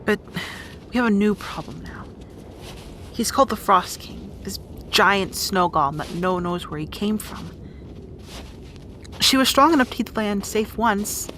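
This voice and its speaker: A woman's voice: mid-range voice, unhurried, pauses often, fairly bright tone, a little hiss or hum, close to an everyday voice. A woman playing a bossy, guarded young rat princess in an audio roleplay drama, with exasperated, wry asides. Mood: guarded, explaining.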